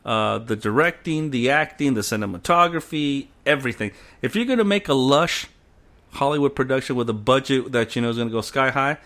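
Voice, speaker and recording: A man's voice: mid-range voice, fairly quick, a bit bright. A man co-hosting a casual film discussion, blunt and opinionated, often laughing. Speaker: podcast co-host.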